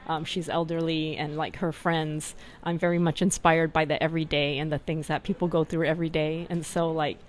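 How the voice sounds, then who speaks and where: A woman's voice: low-pitched, flat delivery, a little fast, few pauses, a little hiss or hum. A woman from California, an artist being interviewed at her art fair booth, talking casually with fillers and frequent laughs. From California, USA. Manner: chatty, laughs often, uses fillers like "um" and "like". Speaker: artist, guest.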